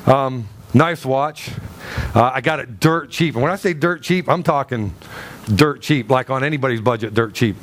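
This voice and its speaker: A man preaching a sermon as pastor, emphatic and animated, with a loud voice that carries, acting out the people in his stories. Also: mid-range voice, normal speed. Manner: loud, carrying voice. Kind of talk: sermon with storytelling.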